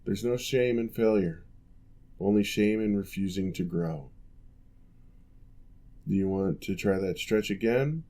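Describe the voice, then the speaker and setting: A man voicing a former orc general who now works as a patient physical therapist, giving calm, measured instructions in an ASMR roleplay. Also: lowish voice, natural voice. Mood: reassuring.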